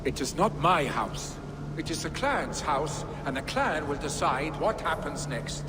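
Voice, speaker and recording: An actor playing a brash, carefree young Viking warrior in a video game cutscene, boastful and defiant. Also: male voice, fairly high pitch, lively intonation, measured pace, over music. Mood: evasive. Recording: noisy game ambience behind dialogue.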